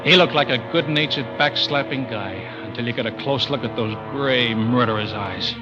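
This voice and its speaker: An actor playing a tough, terse pilot who narrates a crime thriller in old-time radio suspense style. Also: male voice, mid-range voice, moderate pace, natural voice. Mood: grim. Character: hard-boiled male pilot narrating his own crime thriller. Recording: dull vintage radio recording under loud music.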